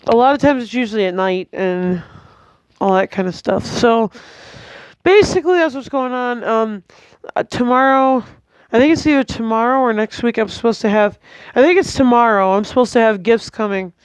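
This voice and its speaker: A tired, congested young woman, giving a hesitant, chatty vlog update at home with many pauses and restarts. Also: on the high side, clean tone, no echo, rough recording. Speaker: vlogger. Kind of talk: casual rambling update to camera.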